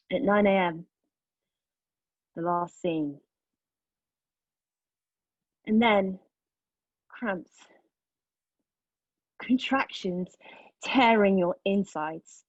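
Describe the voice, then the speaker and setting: A woman acting a wounded, defiant character in a monologue, candid and bitterly sardonic, with halting phrasing. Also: medium pitch, dry recording, lo-fi sound. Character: wounded, defiant woman confessing a painful past. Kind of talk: dramatic monologue at a table read.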